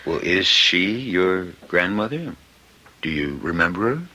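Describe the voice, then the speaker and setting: An actor playing a brusque, world-weary hospital chief of staff in a radio drama, clipped and wry. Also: male voice, low voice, big pitch swings, measured pace, bright, forceful tone, exaggerated voice. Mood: businesslike.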